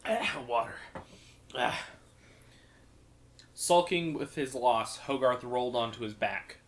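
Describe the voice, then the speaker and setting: A man's voice: medium pitch, slow pace, a little hiss or hum. A man reading a fantasy story aloud as a podcast storyteller.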